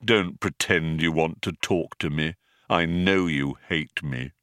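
A gloomy robot acted by a male audiobook reader speaks in a slow, muffled drone. He sounds dejected and self-pitying, resigned to being disliked. The sound is dry, with no room around it. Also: rumbling low, a bit bright, no room sound, pitched below the performer's own voice, full character voice. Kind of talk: audiobook character voice.

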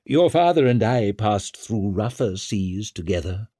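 An old, self-important wizard adviser from a fantasy audiobook, played by a man. He speaks slowly and formally, with a patronising hardness beneath the courtesy. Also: lowish voice, expressive, mellow, slightly rough, no room sound, clean studio sound. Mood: formal, cool. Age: older.

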